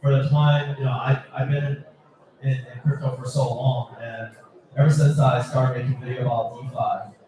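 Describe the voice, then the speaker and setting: A man from Taiwan speaking as a panelist in an unscripted discussion, halting, repeating words and often saying "you know". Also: mid-range voice, fairly even intonation. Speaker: panelist, crypto video creator. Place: event hall panel with audience. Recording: very echoey hall, distant mic, crowd murmur.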